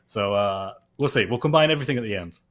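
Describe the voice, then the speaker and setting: A man's voice: fairly low pitch, expressive, fast talker, a bit bright. Chatty man thinking aloud. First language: English. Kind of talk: casual discussion.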